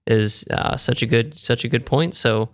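A man's voice: mid-range voice, moderate pace, no room sound, clean studio sound. A man co-hosting a podcast who jokes and tells loose, rambling anecdotes. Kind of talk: casual chat.

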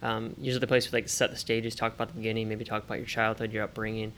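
A man hosting an interview, asking quick, rambling questions that he keeps reshaping mid-sentence. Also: fairly low pitch, little pitch movement, fairly bright tone, slight background noise. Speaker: interview host.

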